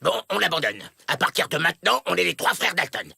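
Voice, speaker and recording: An actor playing a short-tempered, bossy outlaw gang leader in a cartoon, barking impatiently. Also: male voice, a little high, sing-song, a little fast, gravelly, background noise, full character voice. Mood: bossy, scheming. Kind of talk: exaggerated cartoon dub acting. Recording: music behind the voice.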